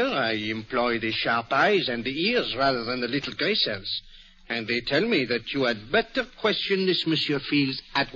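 An actor playing a polite, shrewd Belgian detective with a French accent, speaking precisely and theatrically in a 1940s radio drama. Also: male voice, medium pitch, bright, forceful tone. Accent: French-accented English. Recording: dull lo-fi vintage radio broadcast.

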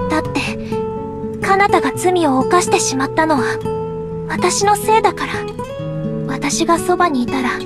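A woman voicing a sweet, earnest young woman in an anime game, gentle and playfully deadpan. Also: extremely high pitch. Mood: sad, self-blaming. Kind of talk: Japanese anime game voice acting. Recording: clear background music.

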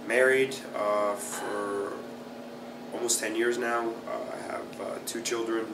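A man in his thirties, raised in South Florida, answering interview questions in a relaxed way with lots of uh and you know. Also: lowish voice, takes it slowly, creaky. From Brazil, raised in South Florida. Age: 30s. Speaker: jiu-jitsu fighter and instructor. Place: small plain room indoors, seated in office chair. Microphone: camera at a distance. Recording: echoey room, distant mic, background hum.

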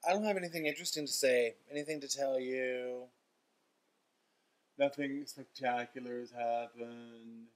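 A young man rambles through a casual video diary in a mid-pitched voice. He sounds weary and grumbles with dry sarcasm. He is recorded on a webcam in a room at home. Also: steady tone, normal speed. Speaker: vlogger. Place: room in a house.

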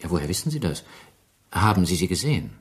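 Low, husky police inspector. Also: male voice, big pitch swings, full character voice. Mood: probing. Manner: deliberate, polite, probing, hesitating between questions. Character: calm, probing male French police inspector. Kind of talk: German radio play acting.

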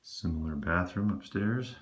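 Deep-voiced man touring a condo. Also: a little slow, slight background noise. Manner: relaxed, offhand narration while walking. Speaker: real estate agent. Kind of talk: casual property walkthrough. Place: condo interior, walking through rooms.

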